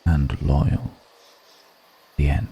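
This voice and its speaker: A man voicing a seductive, grave vampire lord in an intimate ASMR roleplay, speaking in a hushed, deliberate and solemn manner. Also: a bass voice, level tone, breathy edge, heavily acted.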